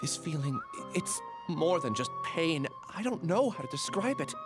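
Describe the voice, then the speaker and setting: An actor playing a troubled, polite young amnesiac in a video game, hesitant, apologetic and earnest. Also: male voice, a little high, wildly swooping pitch, moderate pace. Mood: pained, grateful. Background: game music.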